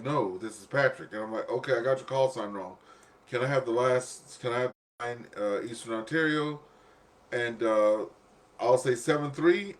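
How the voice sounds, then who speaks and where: A man hosting an amateur radio net in casual chat, telling stories and acting out exchanges as he goes. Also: mid-range voice.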